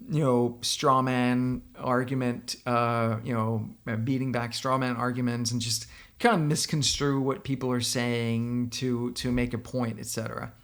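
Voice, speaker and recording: Gravelly Danish-accented man, opinionated. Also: medium pitch, level tone, a little slow. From Denmark. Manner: opinionated, talking straight to the viewer. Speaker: software company founder giving political commentary. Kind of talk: casual commentary responding to a blog post.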